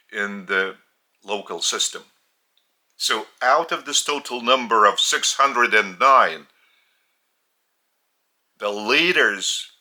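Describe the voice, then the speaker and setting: A man with a Lithuanian accent giving a spiritual teaching in a deliberate, halting way, with long pauses between phrases. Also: fairly low pitch, bright, forceful tone.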